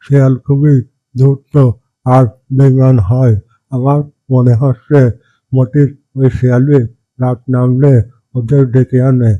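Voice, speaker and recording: A man's voice: medium pitch, little pitch movement, a little slow, no echo, highs cut off, close to an everyday voice. A man playing a distrustful village man in a cartoon, insistent and accusing. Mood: suspicious. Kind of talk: cartoon character acting.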